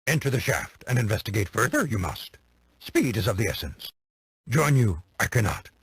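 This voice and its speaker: Game casting: tiny ancient alien mentor, gravelly, swooping pitch, solemn inverted syntax, noisy audio. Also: male voice, big pitch swings, fairly bright tone, no echo, heavily acted. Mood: solemn counsel. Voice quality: gravelly, croaky. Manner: inverted word order, halting solemn phrasing. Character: small ancient male alien sage, wise and grave. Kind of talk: video game cutscene acting.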